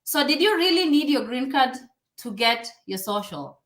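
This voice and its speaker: Higher-voiced woman host in an echoey room. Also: big pitch swings, normal speed, fairly bright tone. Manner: prompting, loose with fillers like "like". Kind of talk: casual interview questions. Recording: roomy echo.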